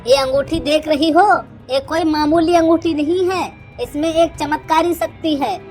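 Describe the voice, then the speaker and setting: A woman's voice: high voice, moderate pace, darkish tone, faint music behind, pitched above the performer's own voice, lightly acted. A woman voicing an arrogant, spiteful, boastful village headman, a cunning younger brother in a Hindi cartoon story. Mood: smug. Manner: boastful, scornful.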